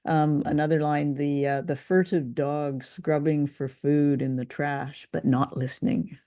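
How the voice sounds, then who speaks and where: A woman with a dark, mellow voice, pitched fairly low, co-hosts a relaxed review conversation. She is enthusiastic and generous, gushing about what she discusses. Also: measured pace, no echo, clean studio sound. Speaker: podcast co-host. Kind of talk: casual review chat about old-time radio drama.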